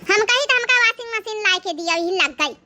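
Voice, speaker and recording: A woman voicing a stubborn, theatrical village wife in a comedy cartoon, whining insistently in an Awadhi accent with a squeaky pitched-up voice. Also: lively intonation, brisk, sharp tone, no echo, heavily acted. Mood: demanding, nagging. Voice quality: squeaky, artificially high voice. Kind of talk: exaggerated cartoon comedy dialogue.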